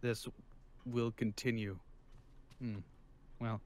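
A man's voice: medium pitch, little pitch movement, takes it slowly, a little mellow. A man doing improvised character dialogue in a game roleplay.

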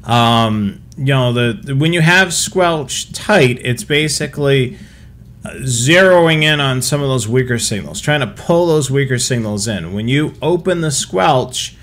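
A man's voice: medium pitch, measured pace, fairly bright tone. A middle-aged man with an American accent, co-hosting a livestream and explaining things casually from a home setup. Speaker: co-host of a police scanner show. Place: small home room with equipment, indoors.

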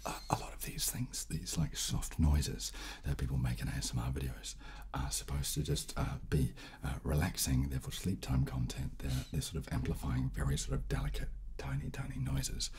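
A man in his thirties hosting ASMR, explaining gently and hesitantly in a soft, hushed voice close to the microphone. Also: very deep voice, animated, normal speed, hardly any pauses. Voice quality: soft, hushed, breathy whisper at times. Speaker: ASMR video host. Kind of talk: soft-spoken and whispered ASMR, casual instruction. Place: small indoor room, seated at table. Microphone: close studio microphone.

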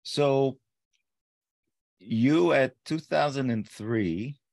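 A man's voice: mid-range voice, very slow, vocal fry, darkish tone, no room sound. A man hosting a podcast, rambling and rephrasing his questions to a guest over a video call. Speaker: podcast host. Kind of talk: interview questions.

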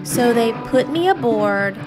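A woman reading a children's storybook aloud with expressive, deliberate, theatrical phrasing. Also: on the high side, loud music behind the voice, poor audio. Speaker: storybook reader.

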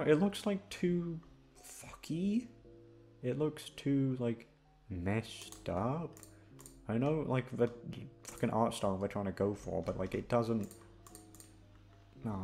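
A man's voice: on the low side, measured pace, a little mellow. A man rambling casually in a scattered, self-deprecating way, swearing freely as he chats to listeners. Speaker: audio roleplay creator. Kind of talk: casual rambling outro chat. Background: background music.

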